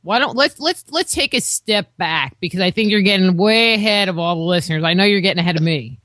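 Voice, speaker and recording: A woman's voice: lowish voice, lively intonation, a little fast, fairly bright tone, dry recording, clean studio sound. A woman hosting a radio interview show, asking questions in a chatty, conversational way. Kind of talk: casual interview chat.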